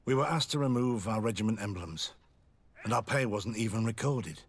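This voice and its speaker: Husky, low-voiced lieutenant. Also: male voice, moderate pace. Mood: guarded, uneasy. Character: wary military lieutenant, dutiful male informant confiding secrets. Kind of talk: video game dialogue acting.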